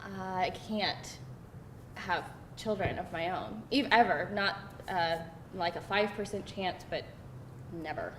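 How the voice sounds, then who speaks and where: A woman's voice: a little slow, a bit bright. A woman playing a candid, self-deprecating girlfriend in a casual, conversational screenplay read. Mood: hesitant, vulnerable. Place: live table read in a roomy indoor space. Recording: roomy live room, noisy rumble.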